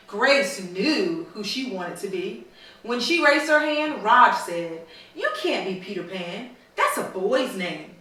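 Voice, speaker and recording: A woman reading a storybook aloud to children in a teacherly way, pausing to prompt them with questions. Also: mid-range voice, bright, forceful tone. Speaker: mother reading a storybook to her daughters. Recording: very echoey room, slightly distant mic.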